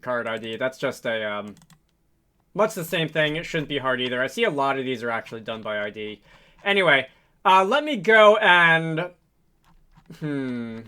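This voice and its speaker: A man hosting a casual coding tutorial, thinking aloud in a halting, improvised way with frequent hesitations. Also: medium pitch, animated, sharp tone, smooth. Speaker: tutorial host, game developer.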